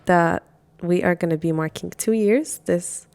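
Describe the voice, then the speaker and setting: A woman's voice: fairly low pitch, measured pace, gravelly. A young adult woman co-hosting a podcast in casual chat, chatty and laughing often. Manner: chatty, laughs often, animated when retelling. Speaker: podcast co-host.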